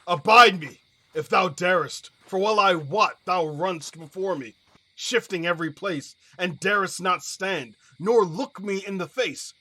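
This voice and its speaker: Guy playing a fiery young lover, high tense voice, declaiming old verse in an audio play. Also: a little slow, sharp tone, full character voice, poor audio. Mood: angry, defiant. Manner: declamatory, indignant. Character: hot-headed young Athenian lover spoiling for a fight. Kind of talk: Shakespearean verse audio drama.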